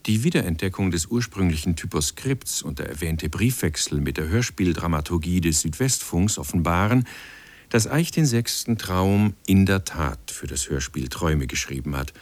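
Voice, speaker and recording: Documentary narrator, male, deep, sober, measured, dry close sound. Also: animated, dry recording.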